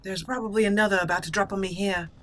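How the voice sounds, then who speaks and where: A woman's voice: mid-range voice, fairly even intonation, fast talker, background noise. A woman giving casual roleplay commentary as she plays a game, talking to her character and musing in role. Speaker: gaming channel host. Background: video game sounds.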